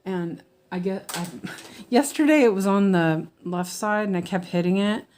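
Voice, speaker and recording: A woman's voice: mid-range voice, animated, measured pace, darkish tone, a little hiss or hum. An American woman chatting in a rambling, meandering way with her viewers as she stitches during a casual livestream.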